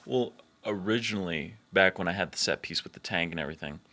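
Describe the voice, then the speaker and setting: A man's voice: low voice, fast talker, sharp tone. A man giving casual, unscripted commentary as a filmmaker, with a blunt, informal, sweary way of talking.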